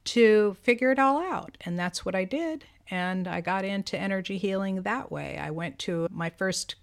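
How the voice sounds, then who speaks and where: A woman in her seventies, a podcast co-host, telling her life story in casual conversation, animated and laughing often. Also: mid-range voice, normal speed, vocal fry. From United States. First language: Greek. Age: 70s.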